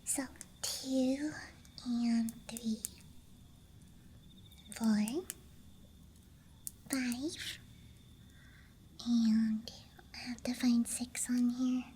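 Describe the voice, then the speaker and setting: A young woman doing unscripted ASMR in a soft, breathy whisper, with a gentle, playful, unhurried manner. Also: fairly high pitch, slight background noise. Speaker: ASMR streamer. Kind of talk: ASMR, unscripted show-and-tell. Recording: faint steady outdoor night ambience. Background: night campground ambience track.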